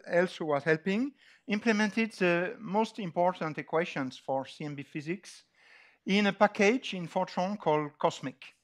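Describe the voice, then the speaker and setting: A middle-aged man with a French accent giving an informal technical lecture in a halting, deliberate way, often hesitating. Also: sits high. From France. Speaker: lecturer opening a scientific workshop. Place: large dark auditorium, on stage.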